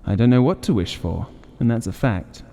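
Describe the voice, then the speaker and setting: A man's voice: mid-range voice, lively intonation, moderate pace, gravelly, warm tone. Man voicing an earnest old father, echoing reverb. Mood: sheepish, hesitant. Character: curious, credulous, gentle old father and husband. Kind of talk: audiobook character voice. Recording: long reverberant hall-like echo.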